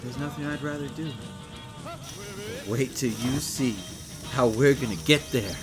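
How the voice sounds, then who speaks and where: A man playing an eager, boyish, loyal and excitable young man in a comic audio drama. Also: mid-range voice, measured pace, lightly acted. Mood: excited. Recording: music underneath.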